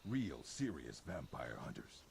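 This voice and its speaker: A grim warrior recruiting vampire hunters in a fantasy game. His voice is deep and gravelly, gruff and scornful, rising and falling with urgency over noticeable background noise. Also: sing-song, unhurried, darkish tone, exaggerated voice. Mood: grim, urgent. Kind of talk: fantasy video game character acting. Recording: clear background noise with animal sounds.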